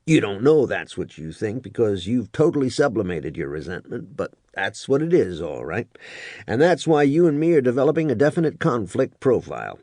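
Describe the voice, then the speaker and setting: A man's voice: medium pitch, very animated, moderate pace, a little mellow, no echo. A man voicing a wry, probing male army first sergeant in an audiobook reading, with a sardonic, mock-psychological manner. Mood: needling.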